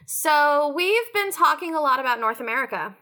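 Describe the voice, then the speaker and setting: A woman with a high, bright voice speaks as a roundtable panelist. She is thoughtful and deliberate, building her point in halting phrases. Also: expressive. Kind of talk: thoughtful discussion.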